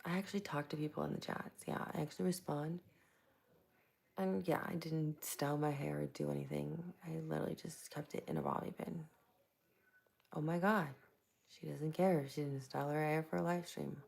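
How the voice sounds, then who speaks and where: A soft-spoken woman from Tampa, Florida, chatting casually with viewers while hosting a live stream into a plugged-in microphone. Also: deep voice, fairly even intonation, moderate pace, creaky. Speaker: livestream host.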